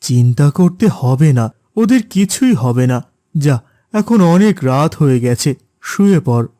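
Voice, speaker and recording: A man voicing a gentle, patient middle-aged father in a cartoon. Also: on the high side, big pitch swings, measured pace, no room sound, studio quality. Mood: dismissive calm. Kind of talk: cartoon voice acting.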